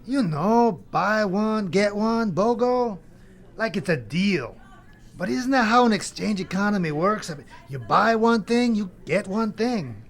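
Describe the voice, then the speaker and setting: Male actor as Death, high voice, brash freeloader, cocky bro patter, glib and rambling, comedy audio play. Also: expressive, a little slow. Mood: glib, animated. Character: Death as brash, fast-scheming freeloading slacker cousin. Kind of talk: audio comedy drama acting.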